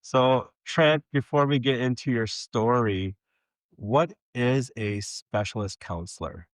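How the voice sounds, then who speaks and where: A man's voice: mid-range voice, slow, no echo. A man, hosting a podcast interview with friendly, conversational questions. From Minnesota. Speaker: podcast host.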